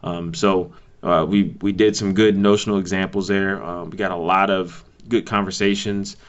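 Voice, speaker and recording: A man chairing a conference call, giving informal updates in a halting, thinking-aloud way. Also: low-pitched, flat delivery. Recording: dull lo-fi conference call audio.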